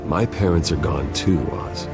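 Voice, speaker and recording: A man's voice: extremely low pitch, measured pace, music in the background. A male actor playing a guarded, dryly wry billionaire vigilante in a video game, understated in manner. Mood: somber.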